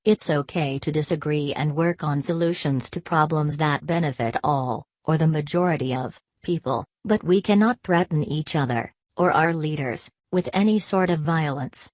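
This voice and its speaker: A woman's voice: sits low, normal speed, few pauses, a little mellow, no echo. A woman narrating a scripted news report, reading stiffly word for word.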